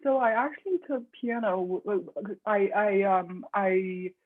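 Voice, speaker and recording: Young woman from China, composer guest, halting and chatty, husky tone over a phone-like line. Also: medium pitch. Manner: halting, many restarts and soft laughs. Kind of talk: casual interview conversation.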